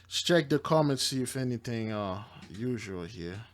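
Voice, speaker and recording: A man's voice: mid-range voice, lively intonation, normal speed. A man commenting informally on news and viewer comments from a religious angle, speaking emphatically and repeating himself.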